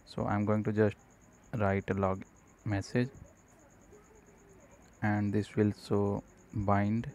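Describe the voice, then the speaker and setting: A man narrating an unscripted screencast tutorial, explaining step by step in a halting way. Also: fairly low pitch, monotone, a little mellow. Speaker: programming tutorial presenter. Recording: noticeable background noise.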